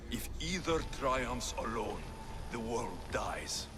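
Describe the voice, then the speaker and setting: An actor playing an ancient, weary and wise Greek mercenary in a video game cutscene, speaking in a measured, solemn way. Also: male voice, medium pitch, big pitch swings. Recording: loud music under the dialogue.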